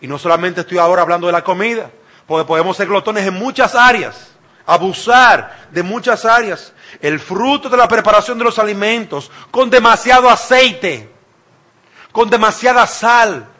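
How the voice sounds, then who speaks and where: Man, Dominican accent, preacher, emphatic sermon, bright forceful voice, repeats lines. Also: high voice, moderate pace. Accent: Dominican Spanish. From Dominican Republic. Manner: emphatic, repeats phrases for effect.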